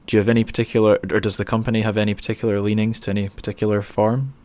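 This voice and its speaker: A man's voice: lowish voice, flat delivery, racing, darkish tone. A man hosting a casual podcast interview, speaking in an awkward, hesitant, self-deprecating way. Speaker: podcast host.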